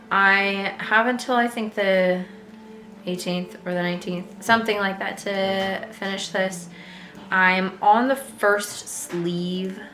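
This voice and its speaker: A woman vlogger chatting casually about her knitting, her voice still a little hoarse as it recovers, rambling with fillers and light laughs. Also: fairly low pitch, fairly bright tone, roomy sound, a little hiss or hum. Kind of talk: casual chat to camera.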